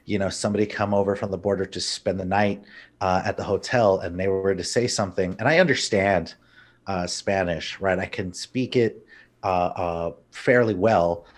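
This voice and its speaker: A young man from El Paso, a stand-up comedian, chatting casually over a video call and telling animated stories. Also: deep voice, moderate pace. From El Paso, Texas. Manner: animated storyteller, slips into Spanish phrases.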